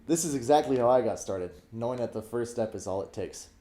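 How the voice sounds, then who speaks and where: A young man playing a fast-talking gym buddy. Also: mid-range voice, lively intonation, mellow, natural voice. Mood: eager. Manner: eager, coaxing. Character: upbeat young man urging his friend to work out. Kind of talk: student skit acting.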